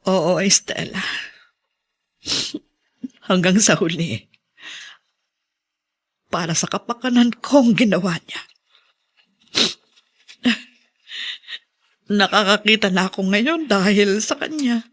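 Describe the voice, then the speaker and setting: A devoted mother in middle age, played by an actress, grieving for her grown son. Her voice sits at a medium pitch. She speaks with plaintive sentiment, in the manner of a TV melodrama. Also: a little slow, pauses often, lightly acted. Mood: sorrowful. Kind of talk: TV melodrama acting.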